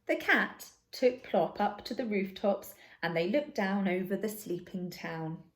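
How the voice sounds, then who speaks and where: Female storybook narrator: unhurried, deliberate, expressive, with animated intonation for young listeners. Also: medium pitch. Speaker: storybook reader. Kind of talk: children's story narration, read aloud.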